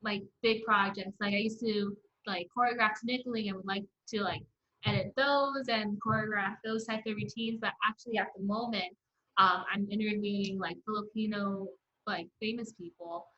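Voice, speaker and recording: Woman, early twenties, American accent, casual, filler-heavy talk, average pitch, slightly dull recording. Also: steady tone, normal speed, bright, forceful tone. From US, San Francisco Bay Area. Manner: peppers talk with "like". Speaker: podcast guest. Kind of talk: casual chat.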